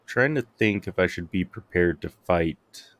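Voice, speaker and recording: A man's voice: low-pitched, lively intonation, measured pace. A man giving casual gameplay commentary as a streamer, muttering and thinking aloud. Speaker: game streamer.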